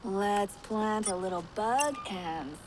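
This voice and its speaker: A woman plays a laid-back tech specialist in game dialogue. She is casual and a little teasing, mid-pitched, with clear ambient noise around her. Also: slow pace, natural voice. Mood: casual, focused.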